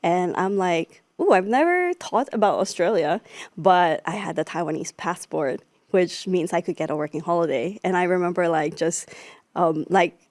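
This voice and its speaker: A woman's voice: fairly low pitch, moderate pace, a little mellow, no room sound, studio quality. A young woman from the Philippines, a startup co-founder, answering interview questions casually with lots of "like" fillers. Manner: chatty, frequent "like" fillers.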